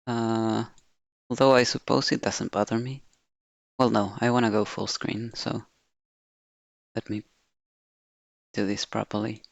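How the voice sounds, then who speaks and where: Male game streamer, lowish creaky voice, relaxed running self-talk. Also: measured pace, pauses often, no room sound. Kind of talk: casual live-stream chat.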